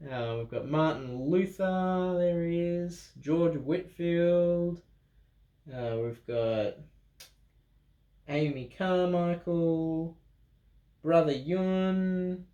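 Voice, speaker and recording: A man reading a children's Bible story aloud in an animated way, with dramatic pauses, expressive emphasis and playful asides. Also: fairly high pitch, muffled recording. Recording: roomy, echoing room sound.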